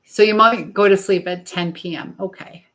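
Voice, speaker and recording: A woman's voice: medium pitch, steady tone, moderate pace, fairly bright tone. A woman chatting casually as a livestream host while busy in a home kitchen, rambling informally as she answers viewers. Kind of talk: casual live chat while baking. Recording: echoey room with clear background noise.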